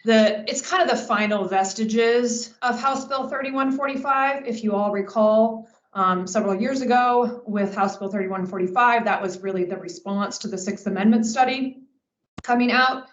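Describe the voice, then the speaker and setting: A woman's voice: on the high side, normal speed. A woman speaking as a government relations manager, giving an explanatory update on a work conference call in informal professional language. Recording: echoey, dull conference call audio.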